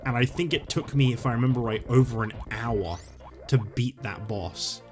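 A man rambling casually and swearing while playing a game, his voice going hoarse. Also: mid-range voice, normal speed, faint music behind. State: losing his voice. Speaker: gaming YouTuber. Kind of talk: casual let's-play commentary.

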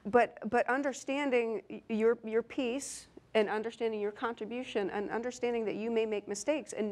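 A woman's voice: medium pitch, darkish tone. A woman in her thirties, an engineer on a conference panel, speaking earnestly with many repeated words and some light humor. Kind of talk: panel discussion. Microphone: lapel mic.

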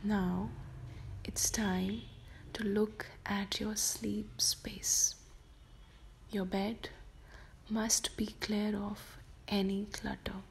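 A woman softly whispering a guided sleep meditation for children, slow and gentle. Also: medium pitch, level tone, vocal fry, slight background noise. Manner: soft whispered delivery.

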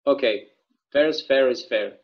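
A man's voice: mid-range voice, moderate pace, lightly acted. A young man playing a chatty, folksy small-town bartender in a table read of a stage play, rambling through local stories over a video call. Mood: friendly, curious. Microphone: video call microphone. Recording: dull, lo-fi video call audio.

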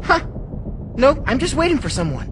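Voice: sits high, very animated, normal speed, background noise. A performer playing a cocky, boyish flying adventurer in a video game, brash and breezy in manner. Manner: brash, breezy, self-assured.

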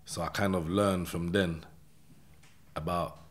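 Male podcast guest, London accent, low voice, street slang, casual first-person storyteller who keeps checking the listener follows. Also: steady tone, slow. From London, England. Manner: streetwise slang, repeated check-in tags like "yeah?" and "innit". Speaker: podcast guest telling his life story.

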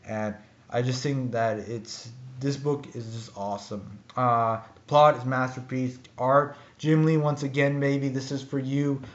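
A young man casually reviewing a comic book to a webcam in his bedroom, rambling with lots of fillers and waving his hands. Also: mid-range voice. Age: young adult. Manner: rambling, filler-heavy, animated gestures. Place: bedroom with ceiling fan, indoors. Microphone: webcam built-in mic. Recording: roomy, dull lo-fi webcam audio.

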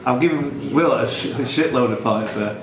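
A man's voice: medium pitch, normal speed, distant mic. A man organising a quiz with casual, informal banter and exclamations. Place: indoor pub room. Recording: noisy echoey pub, off mic.

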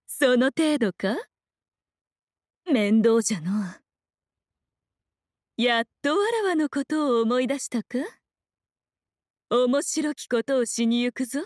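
A woman's voice: a little high, no room sound, studio quality. A woman playing a sly, ageless fox shrine priestess in an anime game, speaking in archaic, haughty, languid phrases. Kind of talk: Japanese anime game voice acting, battle lines.

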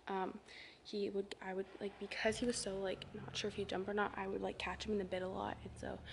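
A woman's voice: medium pitch, a little hiss or hum. A teenage girl chatting casually to a handheld phone camera at a barn, full of fillers and often dropping to a whisper. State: sweaty and out of breath after riding. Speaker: vlogger. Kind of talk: casual vlog chat. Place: indoor riding arena and barn. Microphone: phone camera at arm's length.

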